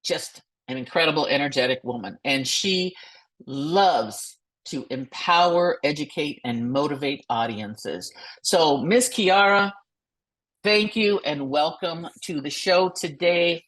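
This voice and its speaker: A woman's voice: sits low, slow pace. A woman hosting a motivational podcast as a coach, enthusiastic and effusive, who sometimes stumbles over words while reading.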